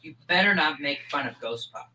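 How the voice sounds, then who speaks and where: A student as sharp-voiced earnest young boy. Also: deep voice, expressive, fairly quick, rough recording. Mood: insistent, awed. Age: young teen. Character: earnest, gullible thirteen-year-old boy, wary of ghosts. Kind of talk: school performance of old-time radio comedy.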